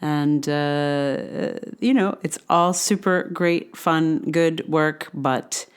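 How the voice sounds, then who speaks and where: A woman's voice: deep voice, extremely slow, clear, resonant tone. A woman hosting a solo podcast, talking casually in a rambling, hesitant way and often correcting herself as she goes. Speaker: podcast host.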